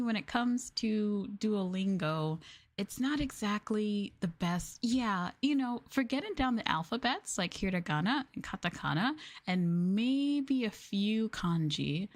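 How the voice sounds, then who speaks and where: An American woman streamer chatting casually and softly to her viewers in an expressive, ASMR-style live chat. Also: medium pitch, a little slow. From United States.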